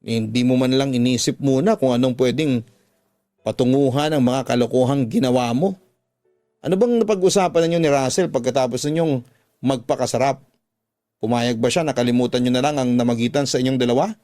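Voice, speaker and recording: A man's voice: mid-range voice, fairly quick, warm tone, no echo, clean studio sound, close to an everyday voice. Man voicing a scolding female friend. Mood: reproachful. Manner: reproachful, probing. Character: scolding, worried young woman, the narrator's confidante. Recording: background music under the voice.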